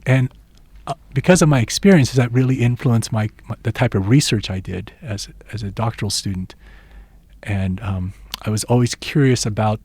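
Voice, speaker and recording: 60s guy from the philippines, earnest storytelling, mid pitch, dry close sound. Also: darkish tone, no room sound. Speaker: education professor and interviewee. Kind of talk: reflective interview answers.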